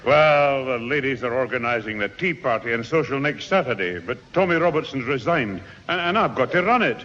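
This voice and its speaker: Grumbling old fellow, swooping delivery. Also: male voice, mid-range voice, lively intonation, brisk, bright, forceful tone. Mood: harassed, exasperated. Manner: exasperated, blustering. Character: harassed, grumbling elderly man, long-suffering family elder. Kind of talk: 1960s British radio sitcom acting. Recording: muffled 1960s radio, hall reverb, hiss.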